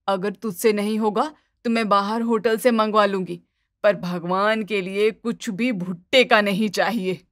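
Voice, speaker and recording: A woman voicing a fussy, easily exasperated middle-aged mother-in-law in an animated family story, bossy and dramatic. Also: medium pitch, unhurried, dry recording, clean studio sound, lightly acted. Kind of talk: animated Hindi moral-story dubbing.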